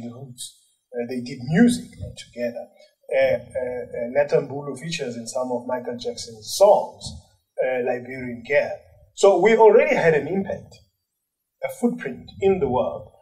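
A man from South Africa, a journalist and cultural commentator, answering at length in an animated way with many fillers and restarts. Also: mid-range voice, distant mic, low-quality recording. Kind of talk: interview answers.

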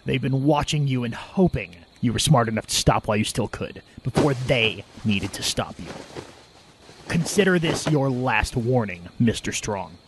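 Male actor as cold, threatening henchman; animated pitch, deliberate delivery, audio drama, faintly noisy setting. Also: close to an everyday voice. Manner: deliberate, intimidating. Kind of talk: audio drama villain acting.

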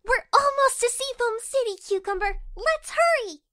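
A woman's voice: very high-pitched, expressive, normal speed, pushed and bright, dry recording, exaggerated voice. A woman voicing a sweet, naive young rabbit princess in a cartoon dub, speaking in a cheery, courteous way. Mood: polite, cheerful. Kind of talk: cartoon comic dub acting. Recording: music behind the voice.

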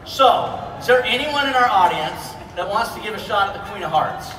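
A man's voice: high-pitched, lively intonation, normal speed, bright, forceful tone. A middle-aged man hosting a panel on stage in a casual, chatty style. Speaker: panel host. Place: large convention hall stage. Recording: echoey hall PA from far off, crowd noise.

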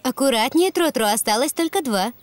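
A caring cartoon mother donkey, with a fairly high voice. She is encouraging and watchful, speaking kindly to her child in a preschool show. Loud music plays underneath. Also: lively intonation, brisk. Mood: gently cautioning. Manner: encouraging, gently cautioning.

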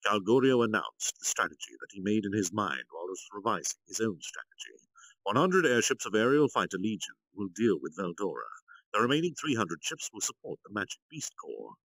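A man narrating a story aloud as a streamer, in a theatrical, deliberate reading style. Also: lowish voice, big pitch swings, fairly bright tone, no echo, poor audio. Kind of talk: dramatic narration of translated light novel.

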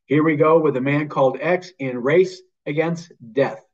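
A man's voice: fairly high pitch, moderate pace, darkish tone, slight background noise. An older man speaking as a show host, giving a scripted introduction over a video call. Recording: slightly muffled video-call sound.

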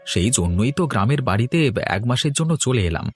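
A man's voice: mid-range voice, lively intonation, a little fast, lightly acted. A man voicing an affectionate, easygoing grown son in a Bengali cartoon story, with a Bengali accent. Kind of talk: Bengali animated story voice acting. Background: plucked-string music under the voice.

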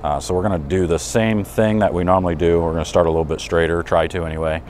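Low-voiced man, casual reviewer over background noise. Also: fairly even intonation, fast talker, a little mellow. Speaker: product reviewer and bowling coach. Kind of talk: casual unscripted review talk. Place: indoors in a pro shop and bowling alley. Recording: clear background noise of bowling alley.

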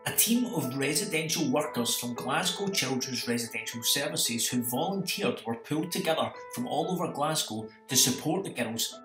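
A man's voice: medium pitch, expressive, moderate pace, hardly any pauses, a bit bright. A man reading scripted narration. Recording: echoey, slightly distant, music behind. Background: plucked guitar music.

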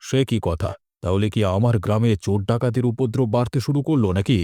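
A man's voice: medium pitch, quick pace, warm tone, no echo, clean studio sound, close to an everyday voice. A man playing an authoritative village landlord in a cartoon, concerned and questioning. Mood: alarmed, questioning. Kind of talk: cartoon dialogue acting.

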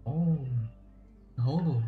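A streamer with an Indonesian accent in casual let's-play commentary, halting with restarts and frequent throat clearing, faint game music behind. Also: medium pitch, roomy sound, phone-quality sound.

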